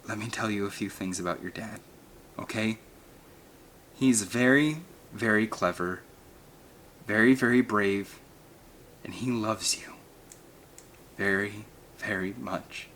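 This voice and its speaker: A man reading aloud voices a distraught mother who speaks softly and pleadingly. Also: on the low side, slow, long pauses, a little hiss or hum. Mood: tender. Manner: hushed and pleading. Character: distraught mother, soft and tender.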